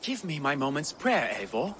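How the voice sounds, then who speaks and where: A dour, devout young Saxon for a video game. He is formal and sardonic, with widely varied pitch and a faint ambience behind the voice. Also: very animated, moderate pace, a bit bright, a little hiss or hum. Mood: pious, weary. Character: pious young Saxon man, dour, disdainful of pagans. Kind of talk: video game cutscene acting.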